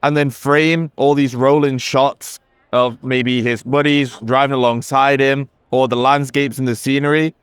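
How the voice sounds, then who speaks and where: A man's voice: medium pitch, little pitch movement, unhurried, clear, resonant tone, no echo, studio quality. A man representing a company, giving an enthusiastic, casual product demo on a busy trade show floor. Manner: enthusiastic, conversational, with fillers. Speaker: company representative demoing a camera.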